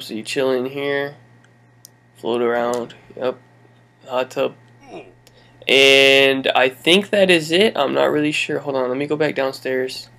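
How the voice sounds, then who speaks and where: A man's voice: mid-range voice, a bit bright. Laid-back young man touring his game world. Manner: rambling, laid-back, many fillers and laughs. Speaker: gaming channel host. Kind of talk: casual game walkthrough commentary.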